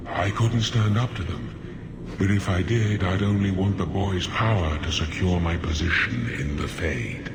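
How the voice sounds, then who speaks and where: An actor playing a languid, sly sloth demon in a video game, drawling smugly as it bargains. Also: male voice, sits low, creaky. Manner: slow, drawling, smugly unhurried. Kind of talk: video game cutscene acting. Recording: very noisy game capture with background ambience.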